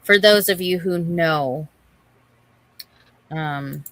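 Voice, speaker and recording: A woman's voice: on the low side, very slow, fairly bright tone, dry recording. A woman co-hosting a casual livestream, chatting informally with viewers as she reads their comments. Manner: chatty, addresses and greets viewers by name. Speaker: livestream co-host reading and answering viewer chat.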